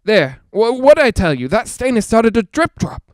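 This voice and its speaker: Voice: mid-range voice, sing-song, normal speed, a little mellow, no echo, studio quality, close to an everyday voice. Actor doing a scared young radio host guy cracking up in a ghost house, breathless rambling, voice all over the place, old radio play. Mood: eerie unease. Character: frightened, unravelling young male radio broadcaster in a haunted house. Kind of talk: 1940s radio drama acting.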